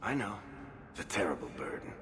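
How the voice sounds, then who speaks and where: An actor playing a roguish, flirtatious, self-satisfied adventurer in a video game, teasing and smug. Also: male voice, deep voice, very animated, a little fast, echoey room, background noise.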